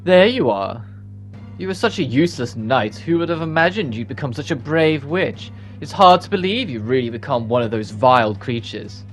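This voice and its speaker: A sly, haughty knight commander who hunts witches, a woman character read by a man. The delivery is condescending and taunting, at a medium male pitch. Faint game music sits behind the fantasy dialogue. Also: animated, normal speed, lightly acted. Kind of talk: reading game cutscene dialogue.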